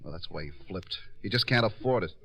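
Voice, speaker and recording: An American actor playing an earnest writer-director in a 1950s radio drama, pleading and insistent with a halting delivery. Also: male voice, deep voice, animated, creaky, fairly bright tone, a little hiss or hum, heavily acted. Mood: agitated, defensive. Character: earnest, pleading male writer-director, loyally defending his star.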